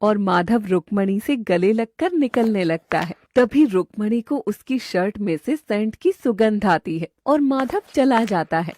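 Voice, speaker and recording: A woman's voice: medium pitch, lively intonation, a little slow, hardly any pauses, darkish tone, no echo. A woman narrator reading a scripted cartoon story.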